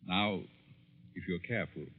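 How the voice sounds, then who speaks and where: An actor in a 1940s radio drama playing a coldly persuasive, sinister professor, formal and insinuating, who speaks deliberately. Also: male voice, low-pitched, slight background noise, close to an everyday voice. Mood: coolly instructing. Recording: tinny, reverberant old radio recording.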